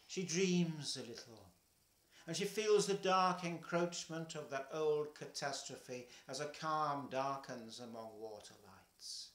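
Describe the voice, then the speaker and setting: Older man reading poetry slowly. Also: fairly high pitch, echoey room. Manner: slow, measured, trailing softly at line ends. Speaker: poetry reader. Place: home kitchen, indoors.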